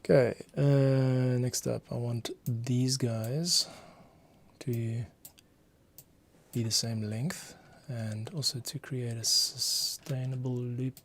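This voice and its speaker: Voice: medium pitch, steady tone, slow pace, slight background noise. A composer thinking aloud casually, muttering a self-directed running commentary while working.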